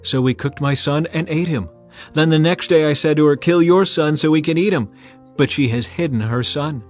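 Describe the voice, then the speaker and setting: A man's voice: fairly high pitch, moderate pace, a little mellow, soft background music, voice pushed up, lightly acted. A man reading as a desperate starving woman. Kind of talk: dramatized scripture reading.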